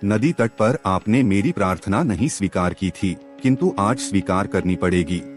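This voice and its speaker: A man's voice: fairly low pitch, animated, moderate pace, close to an everyday voice. A man voicing a wealthy, courteous landowner who speaks formally and entreats earnestly in a story. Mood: earnest persuasion. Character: wealthy, courteous, persuasive landowner pandit, sincere and humble. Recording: music under the voice.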